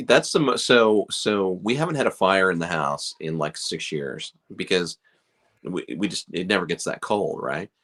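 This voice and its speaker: A man's voice: deep voice, expressive, normal speed, fairly bright tone. A middle-aged man from Chicago, a beard company owner, chatting casually on a livestream in a rambling, self-deprecating way with lots of fillers.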